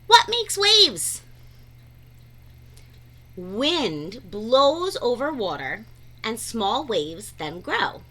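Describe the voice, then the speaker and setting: Very animated woman reading a children's book. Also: fairly high pitch. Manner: animated, deliberate, sing-song phrasing. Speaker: read-aloud storyteller. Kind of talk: expressive rhyming children's picture-book read-aloud.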